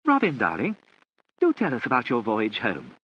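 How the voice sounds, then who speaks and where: A man's voice: medium pitch, wildly swooping pitch, normal speed, husky, dull audio, voice pushed up. A man reading an audiobook, voicing a gushing, flustered aristocratic mother with an effusive, breathless manner.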